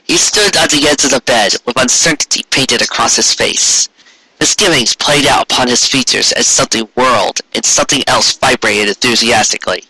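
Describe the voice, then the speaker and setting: A man reading a story aloud in an expressive, theatrical way, lingering over lists of words. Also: medium pitch, moderate pace, slightly rough, dry recording, poor audio. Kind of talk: expressive scripted narration with occasional asides.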